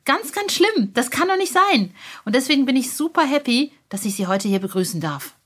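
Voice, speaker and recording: A woman's voice: high-pitched, very animated, brisk, noisy background. A woman hosting a podcast in a chatty, informal and enthusiastic way, speaking directly to listeners. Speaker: podcast host.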